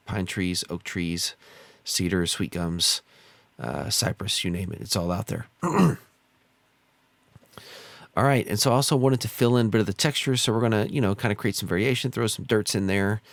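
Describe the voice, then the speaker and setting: An American man with a slightly hoarse, stuffed-up voice from a cold, giving easygoing, casual tutorial commentary as a channel host. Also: lowish voice, no echo. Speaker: host of a model railroad simulator channel.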